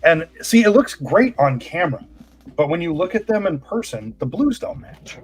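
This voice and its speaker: A man in his thirties co-hosting a casual livestream over a video call, teasing and relaxed. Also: fairly high pitch, moderate pace, breathy edge. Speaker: co-host.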